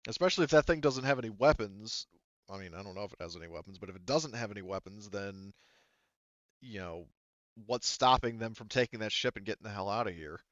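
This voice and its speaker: A man's voice: lowish voice, animated, fast, dry recording, natural voice. A man voicing a blunt, tough-talking female spaceship commander in a tabletop game, casually profane, on a slightly muffled recording. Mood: blunt, probing.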